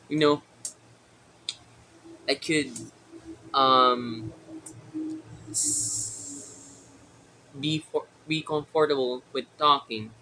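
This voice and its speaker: A young man with a Filipino accent, a native Tagalog speaker, talking to his viewers in a casual solo vlog with many pauses and fillers. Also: medium pitch, bright, forceful tone, a little hiss or hum, rough recording. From Philippines. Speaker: vlogger. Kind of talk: casual solo talk to camera.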